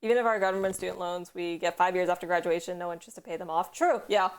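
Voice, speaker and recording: A young woman from Canada hosting a casual stream chat, rambling quickly with lots of "like" and casual swearing. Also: on the low side, smooth. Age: young adult. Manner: fast, rambling, heavy use of "like", swears. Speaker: streamer host.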